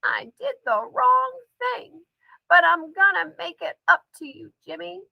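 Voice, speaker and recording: A woman's voice: very high voice, lively intonation, unhurried, creaky, no room sound, highs cut off, pitched above the performer's own voice, heavily acted. A woman voicing an eerie old grandmother speaking through someone else, wistful and pleading, in a storybook reading. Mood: remorseful.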